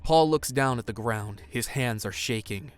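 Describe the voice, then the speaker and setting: A man's voice: mid-range voice, measured pace, smooth, soft background music, natural voice. A man narrating an audio drama, reading scripted scene action in the present tense.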